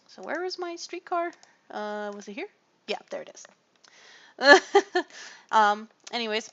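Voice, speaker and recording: A woman with a gravelly, creaky voice chats loosely to her stream as she draws. Her pitch swings up and down freely, and she meanders along with plenty of fillers. The sound is a little dull and lo-fi. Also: fairly bright tone. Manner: rambling and chatty, with frequent fillers and laughs. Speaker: streamer drawing colouring pages. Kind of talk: casual livestream chat while drawing.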